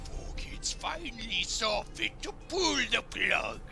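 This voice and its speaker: A performer voicing a menacing old villain in a parody cartoon, with exaggerated delivery and a creaky voice. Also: medium pitch, very animated, slow pace, heavily acted. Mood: smug menace. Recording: loud music behind.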